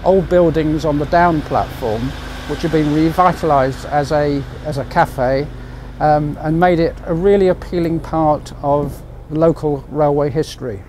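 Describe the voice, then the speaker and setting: A British man in his sixties talks to camera as a presenter. His voice is fairly high for a man, recorded outdoors with background noise. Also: a little slow, few pauses, darkish tone. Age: 60s. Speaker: project advisor, presenter. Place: outdoors at a railway station. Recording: faint outdoor station noise.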